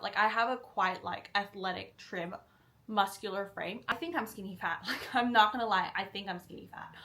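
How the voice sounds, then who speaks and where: A woman's voice: medium pitch, bright, forceful tone. A young woman from Singapore in a casual question-and-answer chat, talking candidly with frequent "like" and "um". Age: young adult. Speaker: vlogger.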